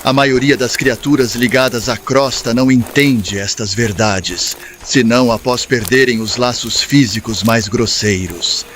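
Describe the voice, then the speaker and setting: A wise male spirit mentor played by a man speaks. He is calm, solemn and instructive, with measured formal phrasing for an audiobook story. A light layer of ambient noise sits behind him. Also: mid-range voice, lightly acted. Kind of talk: scripted audiobook dialogue, lecturing.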